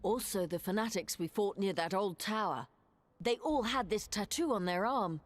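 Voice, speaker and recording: Lady as fantasy game adventurer, mid pitch, dry and matter of fact. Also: expressive, moderate pace, dry recording, close to an everyday voice. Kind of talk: fantasy video game acting.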